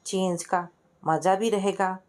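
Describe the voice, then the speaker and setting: A woman's voice: medium pitch, fairly even intonation, a little slow, a little mellow, close to an everyday voice. Playful young female cousin, voiced by a woman narrator: breezy, joking banter, storybook family drama.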